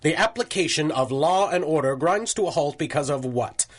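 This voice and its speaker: An actor playing a stubborn, sardonic judge in a 1930s-style radio drama, with a dry, brusque way of talking. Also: male voice, fairly high pitch, lively intonation, moderate pace. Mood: wry, brusque.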